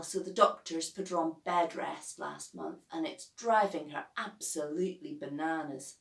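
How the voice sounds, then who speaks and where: A woman narrates a children's novel with measured, expressive phrasing. Her pace is slow and her pitch is average. The room echoes around her, and she sounds slightly distant from the microphone. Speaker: author reading own novel.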